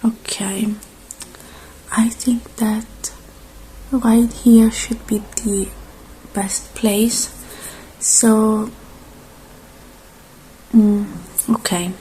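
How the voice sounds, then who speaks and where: A woman doing a soft-spoken ASMR roleplay, slipping in and out of whispers, with a reassuring, halting delivery in short phrases. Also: fairly high pitch, flat delivery, a little hiss or hum, poor audio.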